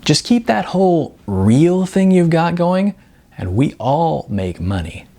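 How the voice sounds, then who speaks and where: Man voicing a brash, animated woman agent. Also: a little high, takes it slowly, creaky, pitched above the performer's own voice, heavily acted. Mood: breezy, teasing. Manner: breezy, wisecracking, emphatic. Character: brash chatty middle-aged female talent agent, blunt and flirty.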